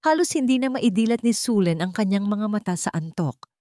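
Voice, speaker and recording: A woman's voice: medium pitch, animated, fairly quick, dark tone, no room sound, clean studio sound. A woman storyteller reading a scripted romance story in a dramatic narrating style.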